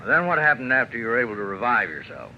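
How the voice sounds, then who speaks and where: An actor playing a sceptical police officer in an old radio drama, questioning in a halting, probing way. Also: male voice, mid-range voice, big pitch swings. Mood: patient. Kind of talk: 1940s-1950s radio drama acting. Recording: dull, hissy old radio recording.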